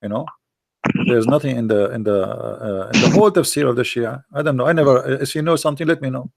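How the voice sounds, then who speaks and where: A man hosting a talk show, mocking and sarcastic, going hoarse as he loses his voice. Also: mid-range voice, fast talker, dry recording, low-quality recording. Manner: mocking, sarcastic, with a non-native grammar. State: losing his voice. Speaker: host.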